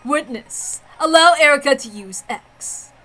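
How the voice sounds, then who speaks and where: Woman as composed, haughty witch duelist for visual novel drama; slightly high voice, formal and taunting. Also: animated, unhurried, bright, forceful tone, soft background music, heavily acted, rough recording. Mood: commanding.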